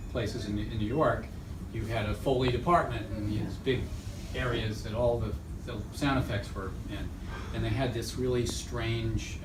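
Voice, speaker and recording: A man teaching a radio theatre workshop informally, thinking aloud in a halting way. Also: lowish voice. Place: echoey indoor workshop room. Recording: roomy, noisy room, distant mic.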